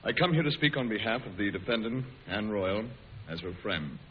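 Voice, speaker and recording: A man's voice: lowish voice, lively intonation, close to an everyday voice. Actor as an earnest statesman, muffled old radio. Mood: earnest, solemn. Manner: formal, measured oratory. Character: earnest, dignified statesman testifying for a friend. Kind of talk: old-time radio drama acting. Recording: hissy, muffled old radio broadcast recording.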